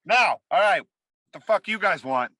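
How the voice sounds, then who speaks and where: A man's voice: high-pitched, animated, measured pace, bright, forceful tone, a little hiss or hum, heavily acted. A man playing a drunk, drug-addled boss in a comedy table read, rambling, crude and erratic, swinging between moods. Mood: boisterous. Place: remote, over a video call. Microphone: video call.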